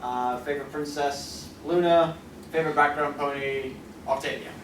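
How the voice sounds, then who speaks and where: A man's voice: fairly high pitch, steady tone, normal speed, fairly bright tone. A man speaking informally on a convention panel, thinking aloud with hesitations and repeated phrases as he answers questions. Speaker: convention panelist from an audio drama group. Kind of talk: informal panel talk and audience Q&A. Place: convention panel room, indoors. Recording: roomy, distant microphone, noisy convention room.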